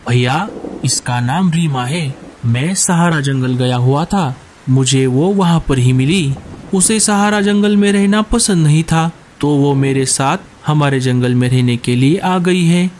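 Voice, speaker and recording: Man voicing a friendly, higher-pitched male crow, noisy track. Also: measured pace, a little mellow, natural voice. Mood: obliging. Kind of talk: Hindi cartoon story dialogue.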